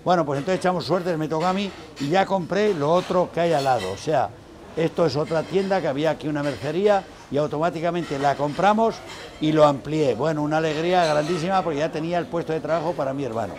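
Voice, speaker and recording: A man's voice: a little high, fast talker, crowd noise. An older man from Spain, the owner, proudly showing visitors around his busy restaurant on a lapel mic. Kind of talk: guided tour talk. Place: busy indoor restaurant dining room. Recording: background music under the voice.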